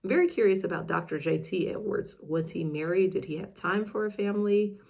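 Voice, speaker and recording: Chatty, creaky-voiced woman reading aloud. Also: mid-range voice, a little fast, darkish tone. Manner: informal, chatty, self-deprecating asides. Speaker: host reading old newspaper articles. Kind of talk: casual chat mixed with reading aloud.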